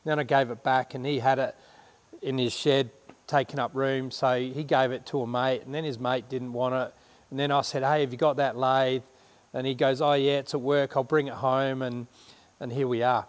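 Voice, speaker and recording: Middle-aged aussie bloke rambling to camera in a big shed, lots of echo, mid pitch. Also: male voice, flat delivery, moderate pace. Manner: chatty, rambling, self-correcting. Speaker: renovation vlogger. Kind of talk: casual show-and-tell to camera. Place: large workshop shed indoors.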